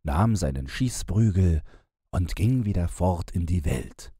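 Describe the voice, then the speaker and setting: A man reads a bedtime fairy tale as an audiobook narrator. His voice is very low and husky, and he takes his time while moving through lively rises and falls. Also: measured pace, dry recording.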